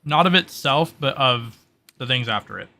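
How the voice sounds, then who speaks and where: A man's voice: medium pitch, lively intonation, normal speed, gravelly, bright, forceful tone. A man acting as game master, explaining tabletop rules in a casual, loosely hedged way.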